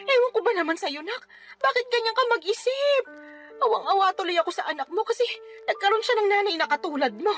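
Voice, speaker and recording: A woman's voice: very high-pitched, expressive, quick pace, a bit bright, faint music behind. An actress playing a stern mother who bluntly scolds her grown daughter in a radio drama. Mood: scolding. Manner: scolding, blunt, later teasing.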